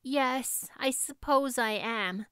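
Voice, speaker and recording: Woman voicing a dramatic, high-pitched young girl. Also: takes it slowly, fairly bright tone, smooth, dry recording, clean studio sound. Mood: solemn, dreamy. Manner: theatrical, pleading. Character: dramatic, imaginative young girl, earnest and wordy.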